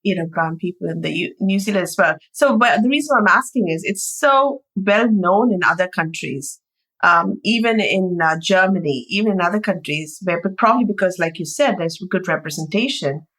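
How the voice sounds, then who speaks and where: A woman's voice: lowish voice, lively intonation, normal speed, fairly bright tone, low-quality recording. A woman hosting a podcast, chatty, asking long rambling questions. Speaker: podcast host. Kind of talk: casual interview chat.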